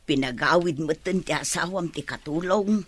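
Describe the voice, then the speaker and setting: An actress playing a grumbling, exasperated older mother in a radio drama, with a husky voice. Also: low-pitched, moderate pace. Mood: grumbling, regretful. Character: suspicious, grumbling older mother of a married daughter.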